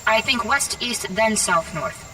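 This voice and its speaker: A woman's voice: a little high, animated, a little slow, bright, forceful tone, distant mic, slight background noise, poor audio. An actress playing a formal, dutiful agent in an audio drama, stiff and precise in her careful wording. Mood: brisk, dry. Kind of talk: scripted audio drama acting.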